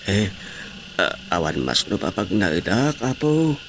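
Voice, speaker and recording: An actor playing a meek, pitiful beggar in a radio drama, speaking humbly and pleadingly. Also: male voice, fairly low pitch, sing-song, measured pace, exaggerated voice. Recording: clear background noise.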